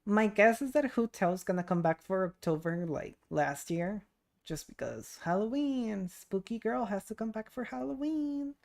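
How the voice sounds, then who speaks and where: A woman's voice: medium pitch, lively intonation, moderate pace, vocal fry, darkish tone. A young adult woman streaming a game, chatting casually and rambling as she muses aloud. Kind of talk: casual livestream chat while gaming.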